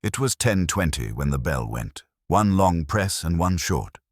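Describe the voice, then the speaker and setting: Man, very deep voice, lively intonation, wry detective-story narration, measured delivery, dry close sound. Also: no echo. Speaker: audiobook narrator. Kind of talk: scripted first-person detective story narration.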